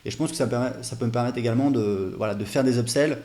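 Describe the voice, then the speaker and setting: A man with a mid-pitched, mellow voice talks through an on-screen demo like an online coach. His delivery is casual and unscripted. He phrases things loosely, often hesitating and leaning on small filler words. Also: fast. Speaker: online business coach presenting a software demo.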